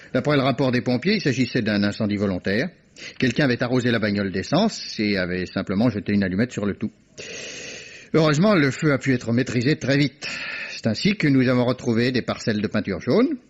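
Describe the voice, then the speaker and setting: A shrewd, jovial police inspector in an old radio mystery, sure of himself and fond of a teasing chuckle. His voice sits mid-range with a husky edge. It comes through a dull, muffled archive recording. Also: mid-range voice, big pitch swings, brisk. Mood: confident. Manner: self-assured, teasing, chuckling. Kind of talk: 1950s French radio drama acting.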